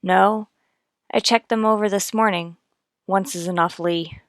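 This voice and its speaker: A woman's voice: medium pitch, steady tone, measured pace, no room sound, clean studio sound, close to an everyday voice. A woman playing a terse, businesslike police detective in a radio crime drama, clipped and matter-of-fact. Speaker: narrator and lead actor. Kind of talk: old-time radio crime drama acting.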